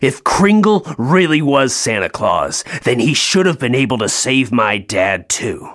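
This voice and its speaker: An actor playing a bitter, grieving North Pole elf in an audio play, sarcastic and emotionally open. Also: male voice, medium pitch, very animated, measured pace, fairly bright tone. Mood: hurt, angry.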